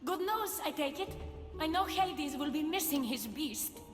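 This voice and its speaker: A woman's voice: high-pitched, expressive, measured pace, over music. A woman playing a haughty, scornful goddess queen in a video game, speaking with imperious poise. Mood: smugly pleased. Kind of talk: video game cutscene acting. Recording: echoing hall with long reverb.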